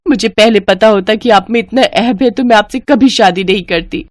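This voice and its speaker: Gentle, put-upon young bride for a moral story, played by a woman narrator, polite, pleading, slightly raised pitch. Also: normal speed, no room sound, clean studio sound, close to an everyday voice. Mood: hurt protest. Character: meek, long-suffering young daughter-in-law.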